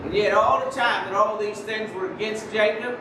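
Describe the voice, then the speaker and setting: Guy preaching softly in a big echoey church, high voice, kinda far from the mic, bit of noise. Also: steady tone, normal speed. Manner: soft-spoken preaching, holds his voice down on the mic. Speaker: lay preacher. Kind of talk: sermon. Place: church sanctuary. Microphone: microphone.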